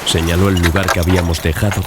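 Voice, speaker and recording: A man's voice: deep voice, flat delivery, fast, heavy background noise. A man narrating a dramatized gothic horror audiobook as a scripted reading. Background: loud music and sound effects.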